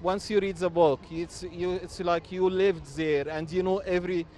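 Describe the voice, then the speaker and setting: A man from Egypt, a publisher answering interview questions in a measured way with pauses. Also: high-pitched, little pitch movement, darkish tone. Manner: measured, pausing with fillers. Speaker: guest publisher.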